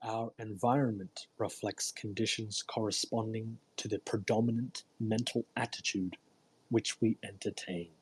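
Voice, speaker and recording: A man with a husky voice hosting a read-aloud, delivering a book in an emphatic, deliberate way with informal commentary. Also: fairly low pitch, steady tone, low-quality recording. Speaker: host reading a self-help book aloud. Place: live online audio room broadcast.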